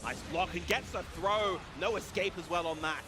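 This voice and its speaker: A man's voice: high-pitched, normal speed. A man giving fluent, analytical play-by-play commentary on a fighting game match. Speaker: esports commentator. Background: music.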